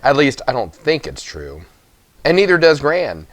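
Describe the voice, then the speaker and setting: A man giving an expressive, dramatic children's audiobook narration, with emphatic pauses. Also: mid-range voice, no echo. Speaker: story reader.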